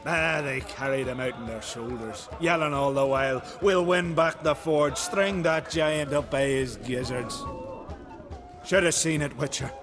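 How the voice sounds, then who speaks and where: An actor playing a hearty tavern keeper in a fantasy video game, telling tales in a folksy, animated way. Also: male voice, mid-range voice, a little slow, over music, natural voice. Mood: admiring, rousing. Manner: folksy, animated storytelling with archaic dialect words. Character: hearty male island tavern keeper, fond storyteller.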